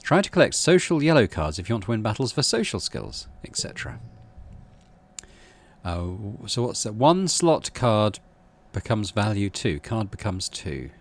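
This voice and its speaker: A man's voice: lowish voice, big pitch swings, normal speed. A man reading out a story game as narration and adding casual commentary as he plays. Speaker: video game streamer.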